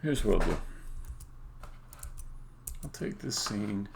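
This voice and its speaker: A man thinking aloud on a live-coding stream, muttering to himself in a halting way and often trailing off. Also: lowish voice, expressive, gravelly, darkish tone. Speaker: indie game developer streaming. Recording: faint background noise, keyboard clicks. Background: computer keyboard typing and clicking.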